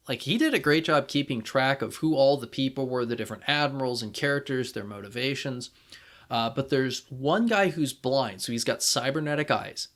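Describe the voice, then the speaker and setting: A man co-hosting a podcast, talking casually as a fan and thinking aloud in a halting way. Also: mid-range voice, fairly bright tone. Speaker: podcast co-host.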